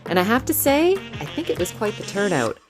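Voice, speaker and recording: A woman's voice: medium pitch, big pitch swings, a little fast, music in the background. A woman vlogger speaking in a chatty, enthusiastic way, casually reviewing motorcycle gear and narrating rides.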